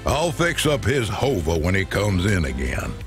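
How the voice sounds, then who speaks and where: A man playing a gruff old hovercar mechanic in a video game, laconic and plain-spoken. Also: low voice, big pitch swings, normal speed, over music. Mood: wry. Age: older. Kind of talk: video game dialogue acting.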